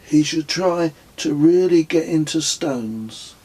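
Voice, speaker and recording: Young boy of nine, played by a male narrator, dreamy and blunt, lifted pitch, husky edge, for audiobook dialogue. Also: slow pace, mellow. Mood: bored, curious. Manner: questioning, musing. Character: nine-year-old boy, curious, dreamy, bluntly unimpressed son.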